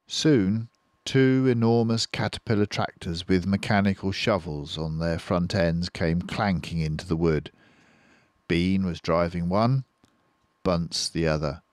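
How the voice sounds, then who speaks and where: An older man reading a children's book aloud as storyteller, unhurried and expressive. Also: low voice, no echo.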